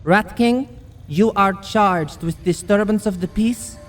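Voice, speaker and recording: A woman's voice: mid-range voice, slow, faint music behind, lightly acted. A woman playing a kind, wry bounty hunter mage in a fantasy ASMR audio roleplay. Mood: solemn, commanding.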